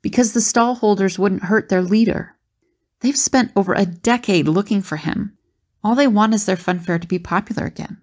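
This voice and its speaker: A woman acts a resourceful adventurer in an audio drama. She sounds confident, with a medium-pitched voice. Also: moderate pace, a little mellow, natural voice. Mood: assured, explaining.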